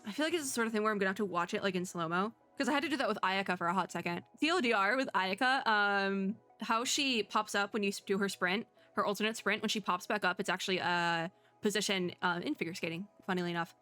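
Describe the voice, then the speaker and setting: A woman's voice: mid-range voice, fast talker, pushed and bright. A young woman gives casual, slangy reaction commentary as a streamer, rambling and thinking aloud. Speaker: streamer reacting to game previews. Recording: music bed under the voice. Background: background music.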